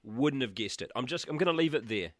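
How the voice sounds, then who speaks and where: A chatty New Zealand man hosts his show from a small room at the back of a shed, teasing his listeners with cheeky asides. His voice is bright and forceful despite a sore throat and a blocked nose, and it sounds dry and close. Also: medium pitch, lively intonation, a little fast, no echo. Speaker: radio show host. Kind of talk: casual solo chat.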